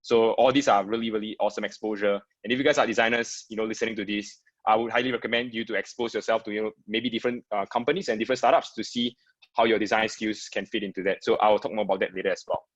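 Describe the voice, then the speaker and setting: A man's voice: fairly low pitch, fairly even intonation, quick pace. A man from Singapore, a product designer, giving a casual webinar presentation with frequent fillers and repeated words. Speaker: guest speaker, product designer. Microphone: video call. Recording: dull lo-fi video call audio.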